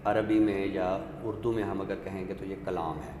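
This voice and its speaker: A man explains literature as a talk show guest, measured and scholarly, often posing rhetorical questions. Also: sits low, little pitch movement, warm tone. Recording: echoey hall-like room with faint noise.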